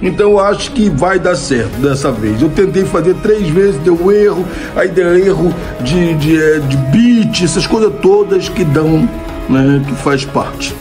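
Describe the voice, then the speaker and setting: A man's voice: fairly high pitch, lively intonation, measured pace, music in the background. A middle-aged man with a Brazilian Portuguese accent, chatting casually into his phone as a comedy creator. He answers viewer questions, laughs at his own jokes and keeps asking "né?". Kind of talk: casual Q&A monologue. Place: home room, indoors. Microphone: old phone camera, close framing.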